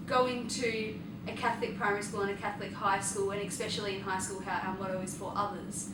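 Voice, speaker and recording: A young woman in her late teens with an Australian accent, answering informally as a student on a panel. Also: medium pitch. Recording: distant echoey room with clear noise.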